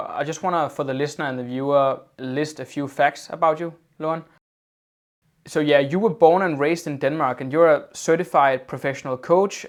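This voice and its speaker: A man hosting a casual interview over a video call, often repeating his opening words before talking on fluently. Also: a little high. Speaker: podcast host.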